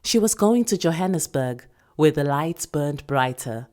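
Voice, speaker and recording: A South African woman reads literary fiction as an actor would, with dramatic delivery. Her voice is on the low side. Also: lively intonation, a little slow, warm tone. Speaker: actor reading a short story. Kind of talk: dramatic story narration.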